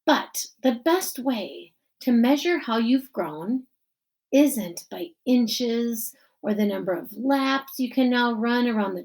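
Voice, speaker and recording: A woman plays a middle-aged school principal addressing a graduation in a storybook. He speaks slowly and emphatically, with a creaky edge. His delivery is formal and earnest, with deliberate pauses. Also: a little high, a little mellow, heavily acted. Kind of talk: children's novel read-aloud.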